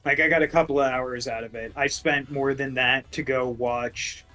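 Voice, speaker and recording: A man co-hosting a casual podcast, chatty and quick, with many stumbling restarts. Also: medium pitch, steady tone, bright, forceful tone, faint music behind. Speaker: podcast co-host.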